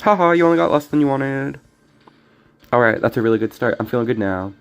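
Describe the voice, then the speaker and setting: A young man streaming a card game, talking casually and rambling with many restarts, his voice slightly hoarse. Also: medium pitch, lively intonation, fast, soft background music. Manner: rambling, self-correcting. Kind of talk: casual gameplay commentary.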